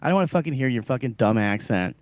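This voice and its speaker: A man's voice: mid-range voice, expressive, fast talker, dry recording. A man co-hosting a podcast, talking in crude, rambling casual chat and laughing often. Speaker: podcast co-host.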